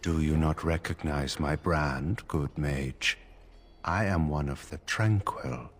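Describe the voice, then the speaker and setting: An actor playing an emotionless, tranquil male enchanter in a video game, speaking formally and with detachment in a husky voice. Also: rumbling low, slow, full character voice. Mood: detached calm.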